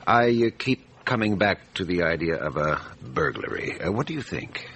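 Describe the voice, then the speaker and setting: Deep, animated, formal magistrate. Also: male voice, normal speed, a little hiss or hum, heavily acted. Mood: formal, probing. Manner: formal, superior, probing. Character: formal, probing, sceptical examining magistrate, male. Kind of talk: radio drama acting.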